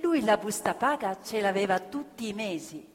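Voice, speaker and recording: A woman telling a story on stage, earnest and measured. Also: medium pitch, expressive. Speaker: stage actress narrator. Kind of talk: theatrical storytelling. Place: theatre stage. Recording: live theatre with long reverb. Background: live violin and piano.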